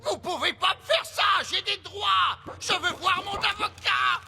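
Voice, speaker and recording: Un comédien de doublage qui joue un magouilleur lâche, obséquieux et geignard de dessin animé, théâtral dans son jeu. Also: male voice, extremely high pitch, unhurried, creaky, bright, forceful tone, raised voice, soft background music, full character voice. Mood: whining, pleading. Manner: theatrical, sneering then pleading. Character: cowardly scheming male match-fixer, sycophantic and whiny. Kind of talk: animated series dub acting.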